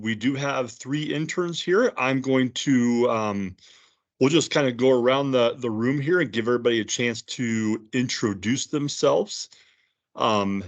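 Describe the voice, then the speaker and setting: A man's voice: medium pitch, lo-fi sound. Man moderating an online panel. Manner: relaxed, loose with fillers. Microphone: video call.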